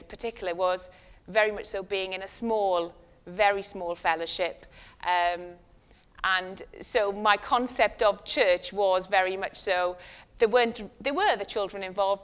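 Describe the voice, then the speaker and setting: A woman's voice: mid-range voice, a bit bright, clean tone. Woman, Welsh accent, first language Welsh, middle-aged, conversational sermon, self-deprecating, many restarts. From West Wales. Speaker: guest speaker.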